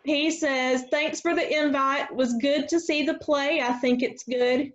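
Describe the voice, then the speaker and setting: A woman's voice: sits high, steady tone, unhurried. A woman chatting casually as host of a group video call after a table read. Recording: dull lo-fi video call audio.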